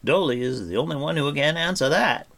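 A man voicing an excitable, boastful and valiant bard in a storybook, talkative and given to grand exclamations. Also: on the high side, a little fast, gravelly, pitched above the performer's own voice, full character voice. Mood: urgent, reasoning. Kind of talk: audiobook character voice.

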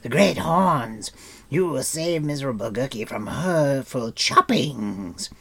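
A man's voice: mid-range voice, expressive, slow, vocal fry, fairly bright tone, pitched above the performer's own voice, heavily acted. A man voicing a groveling, greedy, hairy forest creature who wails and pleads, in a storybook reading. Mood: wailing. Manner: wailing, pleading, talks of himself by name.

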